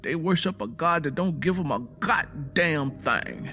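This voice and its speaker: A man's voice: a little high, measured pace, music in the background, voice pushed up, lightly acted. A man voicing a stern, embittered American Southern man with a blunt, defiant rural way of talking, in a narrated story. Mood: bitter, defiant.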